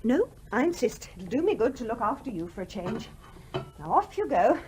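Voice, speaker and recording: Lively, high-voiced genteel English lady. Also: normal speed. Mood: warm, insistent. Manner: polite, well-spoken, proper. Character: small, genteel, determined widow in a haunted house. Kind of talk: 1970s radio play acting. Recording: noisy old radio drama recording.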